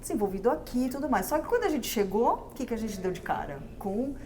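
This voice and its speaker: A woman from Brazil, a guest entrepreneur, telling her story in a conversational interview with lively restarts and fillers. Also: on the high side, quick pace, a little hiss or hum.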